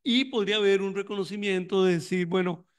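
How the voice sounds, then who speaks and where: A man's voice: sits high, brisk, clean tone. A man giving a conference talk on education, thoughtful and reflective, with frequent restarts and fillers. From Costa Rica. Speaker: panelist on migration in education; university educator running tutoring programs.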